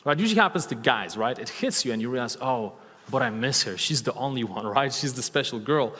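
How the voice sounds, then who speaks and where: A man in his thirties with a Polish accent speaks from a stage to a live crowd, upbeat and coaxing, throwing questions to the audience. His voice sits at an ordinary male pitch, and the big hall adds a long reverb tail. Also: expressive, brisk, a bit bright, breathy edge. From Poland. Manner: enthusiastic, asks the audience questions, repeats key words. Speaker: speaker giving a talk on stage.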